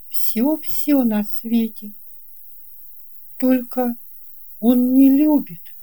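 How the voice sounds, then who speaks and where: Storybook girl, eight, played by a woman: kind and sure of herself, mellow, unhurried, gently reassuring. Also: on the high side, pitched above the performer's own voice. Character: kind, confident eight-year-old girl who believes in fairy tales. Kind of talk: children's audio storybook dialogue.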